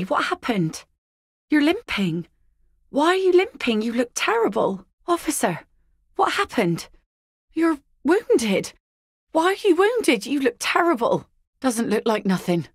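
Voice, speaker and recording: A woman's voice: on the high side, breathy edge, dry recording, heavily acted. An actress voicing a weary working-class fisherwoman in a game, blunt and matter-of-fact. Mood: worried. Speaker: game character voice lines. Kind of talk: video game voice acting. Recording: dry close studio recording.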